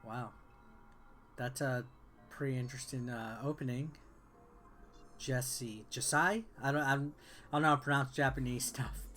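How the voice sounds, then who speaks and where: A man hosts a gameplay video in a casual, offhand way. His voice sits at an average pitch as he reads game text and comments on it. Soft music plays in the background. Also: steady tone, moderate pace, long pauses. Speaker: game reviewer and video host.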